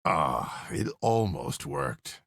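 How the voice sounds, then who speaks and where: An actor playing a brooding male sage sorcerer, delivering a solemn, deliberate monologue in a fantasy game. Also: rumbling low, big pitch swings, gravelly, a bit bright, heavily acted. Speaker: narrating protagonist.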